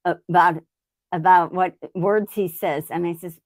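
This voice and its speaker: A woman's voice: on the low side, fairly even intonation, measured pace, dry recording, studio quality. A middle-aged woman from Ohio, a guest in a casual chat. She talks in a folksy, chatty way. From Ohio, United States. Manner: folksy, chatty, laughs easily.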